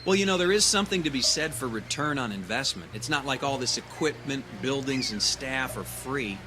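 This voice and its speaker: A man's voice: mid-range voice, animated, brisk, over music, close to an everyday voice. A man acting in an audio drama as a jaded, skeptical character with a wry, laconic and casual delivery. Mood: dismissive, wry. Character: jaded, wry, skeptical man who smokes, older than student.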